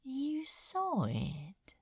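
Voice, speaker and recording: A woman's voice: sits high, huge pitch swings, drawn-out words, slightly rough, dry recording, clean studio sound, voice pushed up, exaggerated voice. A woman voicing a wry, casual male demon, teasing but awkwardly tender, in a dramatised audiobook. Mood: soft, tender.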